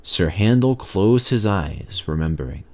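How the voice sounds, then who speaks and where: A man reading a children's story aloud in an animated, expressive narrating style. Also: deep voice, a little slow. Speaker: storybook reader.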